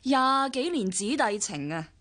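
Hong Kong Cantonese older sister, gossipy, complaining, bright high voice. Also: female voice, very animated, unhurried, heavily acted. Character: sighing older sister, hurt by her brother. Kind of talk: 1990s radio drama acting.

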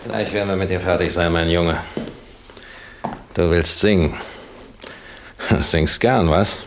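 Very deep-voiced sneering thug. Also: male voice, measured pace, a little hiss or hum. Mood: mocking menace. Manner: taunting, sneering. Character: menacing male thug in police uniform, mocking, sadistic. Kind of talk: 1960s German radio drama acting.

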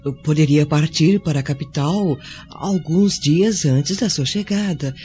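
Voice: mid-range voice, a little slow, mellow, soft background music, close to an everyday voice. A performer voicing an old, humble and kind female servant in a radio drama, speaking deferentially. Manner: deferential, polite, hesitant. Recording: dull lo-fi audio with faint score.

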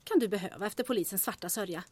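A woman's voice: medium pitch, darkish tone, lightly acted. An actress playing a capable, wry woman secretary at a town hall in a radio drama, speaking in a dry, matter-of-fact way. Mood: dryly helpful.

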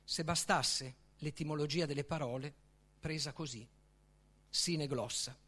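A man reading literary prose aloud in a solemn, theatrical way, with deliberate phrasing and dramatic pauses. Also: fairly high pitch, fairly bright tone. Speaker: author reading from their own book.

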